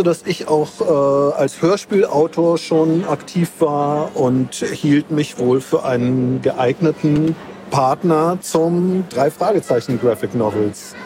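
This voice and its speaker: A man's voice: a little high, takes it slowly. A man with a German accent, from Germany, chatting casually as an interview guest. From Hanover, Germany. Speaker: comic author and interview guest. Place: book fair. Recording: clear background noise, busy book fair.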